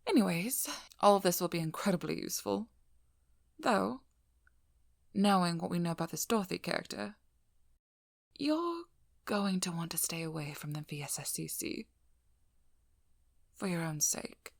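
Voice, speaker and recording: A woman playing a sharp-tongued, blunt vampire hunter in an ASMR role-play, speaking softly and breathily to the listener with a dry, probing manner. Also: on the low side, animated, moderate pace, creaky, long pauses, dry recording. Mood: insistent, exasperated.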